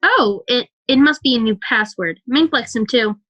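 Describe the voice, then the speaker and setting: A woman's voice: fairly high pitch, lively intonation, normal speed, sharp tone, muffled recording. A woman playing a polite, indulgent suburban mother in a radio play. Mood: friendly, chatty.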